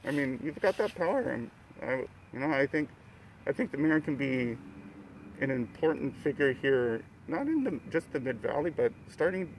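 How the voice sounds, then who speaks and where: A man's voice: medium pitch, animated, moderate pace, gravelly, rough recording. An American man in casual conversation, earnest, informal and insistent as he makes his case. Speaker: local citizen activist. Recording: faint outdoor traffic noise. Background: faint vehicle noise.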